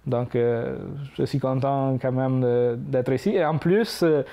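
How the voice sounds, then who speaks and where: Italian-accented man, 30s, smooth warm tone, hesitant interview talk. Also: medium pitch, a little slow, muffled recording. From Italy. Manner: hesitant, frequent fillers. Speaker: immigrant interviewee.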